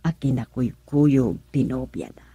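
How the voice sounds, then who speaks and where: A woman's voice: a bass voice, breathy edge, no echo, heavily acted. An actress playing a scheming, gloating woman in an Ilocano radio drama, with an Ilocano accent. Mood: gloating, amused. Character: scheming gloating woman plotting over a romance. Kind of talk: Filipino radio drama acting.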